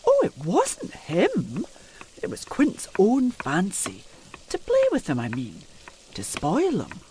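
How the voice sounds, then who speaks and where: Casting: a timid, deferential period housekeeper, halting and slow, for gothic audio drama. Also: female voice, medium pitch, huge pitch swings, background noise. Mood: uneasy, confiding. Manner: hesitant, halting, old-fashioned servant's speech. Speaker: actor in an audio play.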